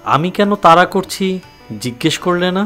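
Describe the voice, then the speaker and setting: Young suitor, pleading and tender, animated intonation, audio drama, soft music behind. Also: male voice, high-pitched, normal speed. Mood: earnest pleading. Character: young Bengali suitor, earnest and secretive, tender.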